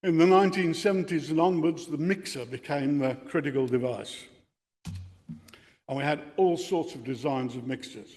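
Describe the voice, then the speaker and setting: A man's voice: fairly high pitch, moderate pace, a little mellow. Older Australian man in a reverberant hall. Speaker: amateur radio club speaker. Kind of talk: technical lecture to radio enthusiasts. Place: indoor meeting room with equipment on a bench. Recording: reverberant hall or meeting room.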